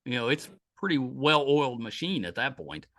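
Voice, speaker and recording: A man's voice: medium pitch, moderate pace, creaky, a bit bright. A man hosting a podcast interview, chatty and enthusiastic, often restarting his sentences. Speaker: podcast host. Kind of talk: casual interview chat.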